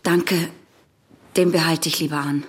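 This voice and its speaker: An actress in a German radio play playing a troubled, secretive teenage girl, stubborn and anxious, with a halting, confiding manner. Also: sits low, fairly even intonation, slightly rough. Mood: guarded.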